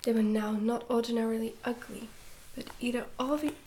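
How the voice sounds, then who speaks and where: A woman reading a storybook in a soft-spoken ASMR style, slipping often into a whisper, with a gentle, hushed delivery. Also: on the high side, steady tone, a little fast, a little hiss or hum. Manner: gentle, hushed delivery with some stumbles over words. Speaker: ASMR reader, channel host.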